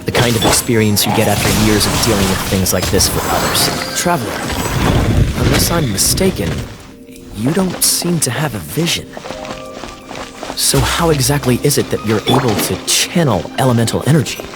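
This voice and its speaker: A man voicing a suave, self-assured young knight captain in a video game, smooth-talking, composed and teasing. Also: medium pitch, lively intonation, normal speed, lightly acted. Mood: casual, probing. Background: music.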